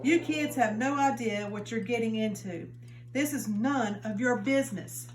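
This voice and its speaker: A woman voicing a menacing adult male security guard who barks and yells threats. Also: a little high, normal speed, noisy background, natural voice. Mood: snapping. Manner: barking, yelling threats. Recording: roomy, distant, faint music behind.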